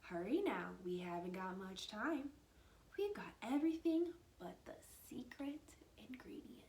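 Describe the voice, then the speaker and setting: A woman voicing a kindly, encouraging old country grandmother in a storybook, tender, coaxing and expressive. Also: on the high side, a little slow, pitched above the performer's own voice. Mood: gently urgent. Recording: roomy echo with faint background noise.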